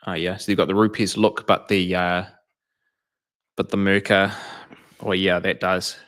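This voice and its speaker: A man's voice: low-pitched, level tone, a little slow, fairly bright tone. A man with a New Zealand accent, co-hosting a casual livestream chat about car detailing and reading out viewer comments. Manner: chatty, reads out live comments. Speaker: detailing channel co-host.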